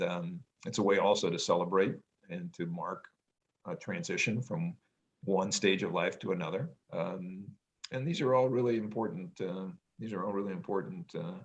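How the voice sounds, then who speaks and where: A middle-aged man musing in a casual family podcast chat, pausing often with ums and restarts and talking in a loud voice. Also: sits low, muffled recording. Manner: hesitant, many ums and restarts, reflective; says he has a loud voice. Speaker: podcast co-host, parent.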